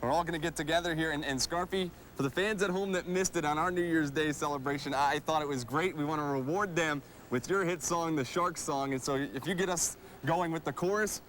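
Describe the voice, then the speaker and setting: A man's voice: a little high, a little fast, hardly any pauses, a bit bright, slight background noise. A man hosting a sports show, interviewing a player in a broadcast style.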